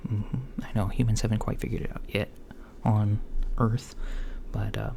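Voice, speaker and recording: A man doing a soft-spoken, partly whispered ASMR roleplay as a calm, reassuring doctor, murmuring hesitantly with long pauses. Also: on the low side, vocal fry. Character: calm reassuring male alien doctor at a checkup. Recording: lo-fi with faint background noise.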